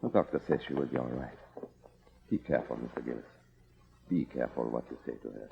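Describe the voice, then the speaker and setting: Very deep, solemn manservant. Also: male voice, fast talker, creaky, slightly rough, full character voice. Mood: solemn, cautioning. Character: solemn, formal, devoted old manservant. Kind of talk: old-time radio drama acting. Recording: muffled old radio, noise or music behind.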